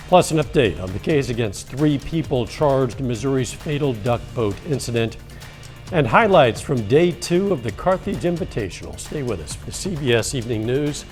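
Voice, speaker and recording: A man reading a local evening newscast as anchor, in a steady, measured delivery with a slightly strained voice. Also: mid-range voice. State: losing his voice, coughing at times.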